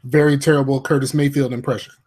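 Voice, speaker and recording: A man's voice: medium pitch, level tone, fast, a little mellow, slightly rough. Joking slangy man co-host. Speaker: podcast co-host. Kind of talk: casual podcast chat.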